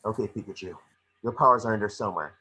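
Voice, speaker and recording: A man voicing a small, scrappy, wisecracking creature detective with a husky voice in an amateur script reading. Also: on the low side, fast, sharp tone, slight background noise. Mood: determined. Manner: wry, muttering to himself then exclaiming. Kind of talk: amateur online table read of a film script.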